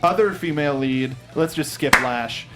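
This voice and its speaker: A man's voice: a little high, normal speed, over loud music. A man hosting a film review show, talking casually and steering the panel discussion.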